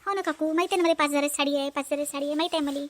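A woman's voice: high voice, steady tone, racing, lightly acted. A woman voicing a young married daughter in a cartoon, affectionate and earnestly coaxing. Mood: assuring. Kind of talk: amateur animated family comedy acting.

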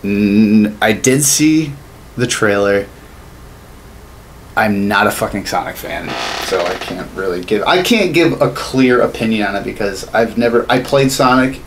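A man's voice: on the low side, animated, measured pace. A man in his thirties co-hosting a casual podcast, sarcastic and profane as he rants about games and movies. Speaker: podcast co-host. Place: small home studio room. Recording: noisy room ambience.

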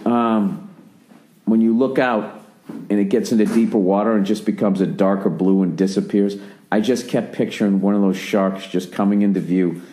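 A profane, rambling American man in his forties hosts a podcast, swearing through a long story. His voice sits dark and mellow. The recording sounds roomy and echoey. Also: on the low side, fairly even intonation, moderate pace. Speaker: podcast host. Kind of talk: casual podcast chat.

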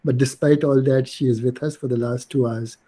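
An older man hosting an online poetry event, introducing a guest in a halting way with many pauses and restarts, over a video call. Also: mid-range voice, fairly even intonation, mellow, husky. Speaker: host introducing a guest poet. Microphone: video call, laptop camera.

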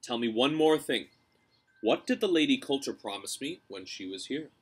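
A man's voice: mid-range voice, lively intonation, normal speed, husky. A man voicing a proud, greedy and gullible king of armored bears, imperious and demanding.

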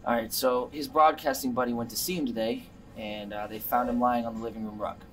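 An actor with an American accent playing a defensive, swaggering brother with worry underneath, in a naturalistic drama. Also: male voice, mid-range voice, fairly even intonation, brisk, slight background noise, close to an everyday voice, low-quality recording. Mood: serious, worried. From Virginia, United States. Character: defensive adult brother putting on swaggering Italian airs, worried underneath. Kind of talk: naturalistic film dialogue.

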